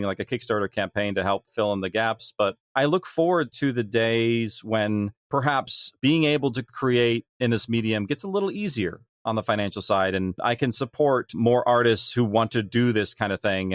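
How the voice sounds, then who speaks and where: A man's voice: medium pitch, animated, a little fast, hardly any pauses, no room sound, studio quality. A man speaking casually and candidly as an interview guest, an audio drama creator reflecting on his work.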